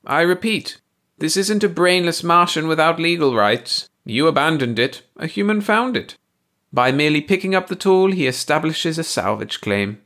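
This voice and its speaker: A man's voice: on the high side, animated, moderate pace, fairly bright tone, pitched above the performer's own voice. A man voicing a smug bald male alien who lectures his companion on the law with mocking precision. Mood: lecturing.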